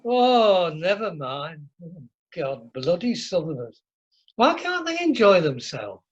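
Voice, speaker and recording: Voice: medium pitch, very animated, slow, slightly rough, full character voice. An amateur actor playing a blunt, jovial Northern English husband, chummy and blustering, in a radio comedy. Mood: grumbling. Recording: muffled online meeting audio.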